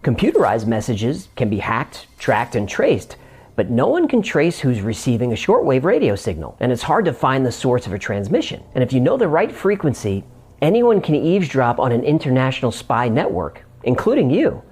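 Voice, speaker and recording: A man's voice: medium pitch, very animated, moderate pace, darkish tone, breathy edge. A man hosting a scripted explainer, narrating it in a conversational way with room for comic banter. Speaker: host.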